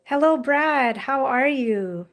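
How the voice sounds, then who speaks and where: A woman's voice: sits high, expressive, slow. Chatty young woman on a phone live. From Jamaica. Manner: chatty, rambling, frequent "like" and "you know". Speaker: live stream host. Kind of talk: casual live chat reading viewer comments. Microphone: phone held in hand.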